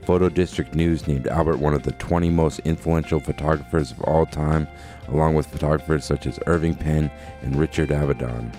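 A man's voice: a bass voice, fairly even intonation, fairly quick, hardly any pauses, mellow. A man hosting a podcast, reading a scripted introduction about a guest. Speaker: podcast host. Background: guitar music.

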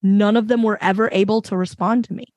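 A woman with a fairly low voice, speaking emphatically and passionately as a panelist in a casual discussion. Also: fairly even intonation, fast talker, darkish tone, dry recording. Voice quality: reasonably low voice. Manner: emphatic, passionate, many fillers. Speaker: podcast panelist.

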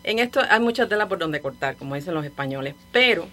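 A woman with a Cuban Spanish accent speaks as a guest on a radio talk show. Her voice is on the low side, and she thinks aloud in long, wandering sentences with small restarts and soft laughs. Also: lively intonation, quick pace. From Cuba. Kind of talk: casual radio conversation.

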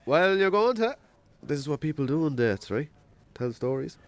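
An actor playing a wry, self-deprecating rural Irish farmer in a radio drama, telling stories colloquially. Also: male voice, a little high, sing-song, normal speed, dry recording. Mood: wry, suspenseful. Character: Jamesy, rural bachelor farmer, self-deprecating storyteller on a date. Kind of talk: naturalistic radio drama acting.

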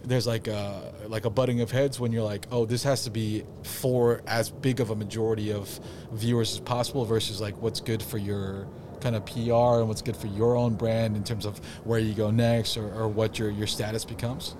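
A man's voice: fairly low pitch, flat delivery, normal speed, noisy background. A man hosting a casual podcast, asking long, rambling questions full of fillers.

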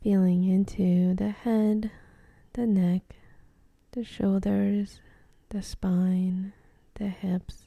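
A woman leading a guided meditation in a soft, breathy, often near-whispered voice, gentle and soothing, with long pauses between phrases. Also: mid-range voice, little pitch movement, no echo, highs cut off.

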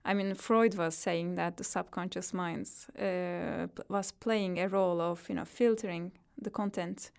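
A woman from Turkey with a Turkish accent, a guest artist talking thoughtfully in an interview, often pausing and laughing softly between phrases. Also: lowish voice, fairly even intonation, creaky, clear, resonant tone. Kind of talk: interview conversation.

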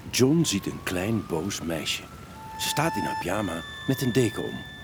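Deep-voiced, very animated male storyteller. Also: measured pace, gravelly, very noisy. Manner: dramatic, suspenseful storytelling delivery. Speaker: narrator of a children's audio story. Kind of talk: expressive scripted storytelling. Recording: music bed behind the narration.

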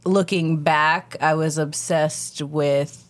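Young woman, low pitch, blunt crude co-host banter. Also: fairly even intonation, takes it slowly. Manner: blunt, crude, dry jokes. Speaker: podcast co-host. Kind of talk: casual chat.

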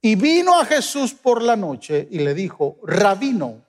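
A Guatemalan man preaching a sermon as pastor, with emphatic rises and sudden soft drops. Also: high voice, very animated, measured pace, big-room sound. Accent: Guatemalan Spanish. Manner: emphatic preaching, swings between loud peaks and soft drops.

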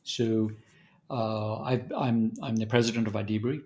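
A man in his fifties with a Southern African accent, a guest in an interview, talking thoughtfully with frequent restarts and pauses. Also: on the low side, steady tone, darkish tone. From born in Zambia, raised in South Africa. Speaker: guest consultant. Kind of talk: conversational interview.

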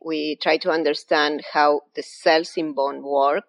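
A woman with a low, deep voice and an Argentine accent answers interview questions. She explains her science step by step in a patient, methodical way, a guest laying out her field for listeners. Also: fairly even intonation, a little slow. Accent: Argentine Spanish. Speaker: anatomy professor, guest.

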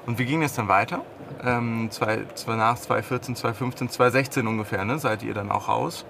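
A man's voice: medium pitch, moderate pace, creaky, background noise. A man hosting a business interview, asking questions in a casual, encouraging way. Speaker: interview host.

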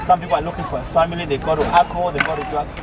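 A man's voice: on the high side, fairly even intonation, racing, a loud crowd. A man, earnestly preaching outdoors and repeating himself often. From Zimbabwe. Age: 20s. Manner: earnest, repetitive, many restarts. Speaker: street evangelist. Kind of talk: interview answers, preaching. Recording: distant mic, loud outdoor traffic noise.

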